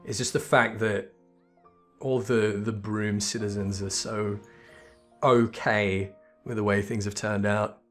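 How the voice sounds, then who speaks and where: A man's voice: lowish voice, flat delivery, takes it slowly, a bit bright. Casual man with music behind. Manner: informal, sweary asides. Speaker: video game player and commentator. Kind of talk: casual gameplay commentary and narration reading.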